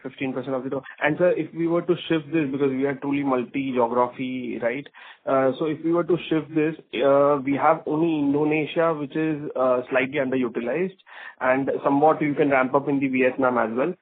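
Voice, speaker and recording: A man's voice: mid-range voice, fairly even intonation, a little fast. A man with an Indian accent, a polite and deferential analyst putting questions to management on a conference call. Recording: narrow tinny phone line.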